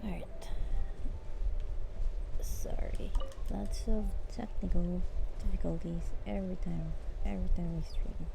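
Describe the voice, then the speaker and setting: Casting: female game streamer, casual and gravelly, noisy livestream audio. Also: on the low side, fairly even intonation, measured pace, long pauses.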